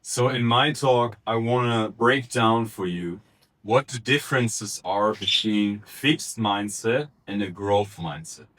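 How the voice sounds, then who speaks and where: A man delivers a short practice speech at an unhurried pace, his voice low-pitched and bright. He lays out each point deliberately, pausing on small fillers. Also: rough recording. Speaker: speaker giving a practice talk.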